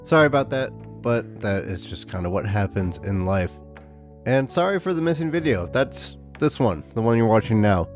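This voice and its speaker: A man hosting a tabletop gaming video, his voice a little rough from recent illness, with sarcastic, exasperated commentary and dry jokes. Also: fairly low pitch, expressive, moderate pace, soft background music. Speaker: tabletop wargaming YouTuber. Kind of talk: casual commentary to camera.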